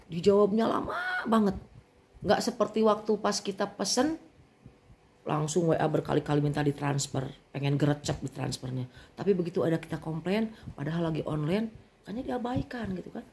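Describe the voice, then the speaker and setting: A middle-aged woman with a Sundanese accent, venting in an indignant, animated monologue as a vlogger. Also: fairly low pitch, brisk, vocal fry.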